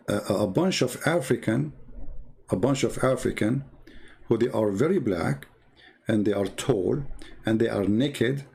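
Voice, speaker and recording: A man who is not a native English speaker gives informal, mocking commentary as he retells and reads out stories, in a halting, repetitive way. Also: medium pitch, vocal fry, darkish tone. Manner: sardonic, mocking. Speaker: commentator presenting religious texts. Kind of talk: informal polemical commentary, retelling and reading aloud.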